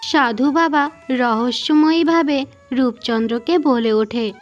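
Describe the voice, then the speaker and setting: A woman with a high voice narrates a fairy tale. The delivery is scripted storytelling for a cartoon, with faint music playing underneath. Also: takes it slowly, dull audio. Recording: music behind the voice.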